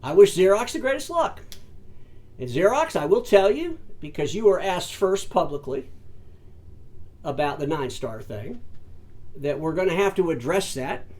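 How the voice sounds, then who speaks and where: Emphatic high-voiced man talking shop. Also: sing-song, normal speed, long pauses. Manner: emphatic, addresses audience as folks and ladies and gentlemen; repeats words and restarts often. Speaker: host of an industry commentary show. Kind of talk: solo commentary to camera.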